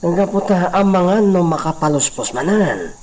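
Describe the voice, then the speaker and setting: A man's voice: sits high, lively intonation, normal speed, a little mellow, a little hiss or hum. A man playing a humble hacienda servant in a radio drama, deferential and a little plaintive with his masters. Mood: hesitant, reporting. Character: Baldo, humble male hacienda servant, loyal but grumbling. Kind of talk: Ilocano radio drama acting.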